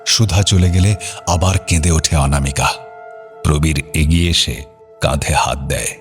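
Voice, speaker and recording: A man's voice: extremely low pitch, unhurried, soft background music. A man narrating an audio story drama in a scripted, dramatic style.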